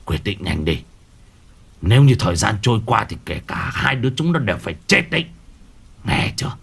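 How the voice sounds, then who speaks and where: Picture a harsh old village shaman, put on by a male storyteller. He growls short commands in a deep, rough register with faint rain behind him. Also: very animated, a little fast. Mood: grim, low. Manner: low growling, curt orders.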